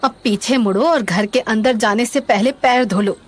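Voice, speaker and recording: A woman voicing a vengeful, commanding female demon in an animated horror story. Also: on the high side, moderate pace, natural voice. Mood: scolding. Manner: commanding, menacing. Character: vengeful female demon witch who mimics a scolding wife.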